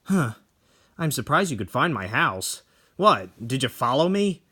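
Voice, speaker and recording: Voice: mid-range voice, big pitch swings, unhurried, bright, forceful tone, husky, heavily acted. A performer playing a cocky, proud, hot-tempered male painter in a dramatic horror reading, blunt and wry. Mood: wry, confident.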